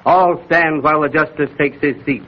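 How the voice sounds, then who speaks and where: A man's voice: fairly high pitch, normal speed, natural voice. An actor playing a formal male court crier, declaiming officially in 1930s radio style. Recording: muffled, noisy 1930s radio recording.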